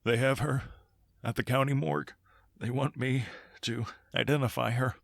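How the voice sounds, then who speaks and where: A man's voice: medium pitch, steady tone, normal speed, creaky, dry recording, clean studio sound. A man playing an authoritative middle-aged doctor and husband in the style of old time radio drama, clipped and insistent. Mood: stunned, grieving.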